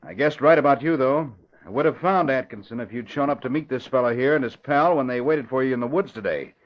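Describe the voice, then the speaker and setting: An actor playing a tough, urgent, defiant government agent in 1940s radio drama style. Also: male voice, medium pitch, fast talker, lightly acted. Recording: muffled, crackly vintage radio recording.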